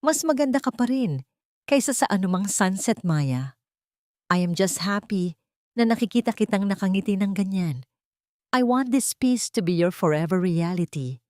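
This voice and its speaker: A woman's voice: mid-range voice, animated, moderate pace, darkish tone, dry recording, voice pushed up, lightly acted. A woman narrator reads as a devoted husband in a romantic storybook tale, with a Filipino accent. The delivery is earnest, soothing and tender, in a close, dry sound. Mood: loving.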